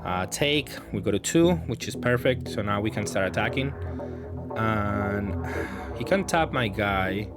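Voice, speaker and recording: A man's voice: on the low side, lively intonation, measured pace. Man thinking aloud over gameplay, music behind. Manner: thinking aloud, hesitant, self-correcting. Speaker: gaming content creator commentating matches. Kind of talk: casual gameplay commentary.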